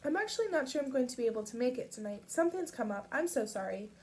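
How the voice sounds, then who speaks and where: A woman voicing a frightened teenage girl who is choked up and sniffling, her voice rasping at the edges. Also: sits high, animated, fast, a little mellow, voice pushed up. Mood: evasive.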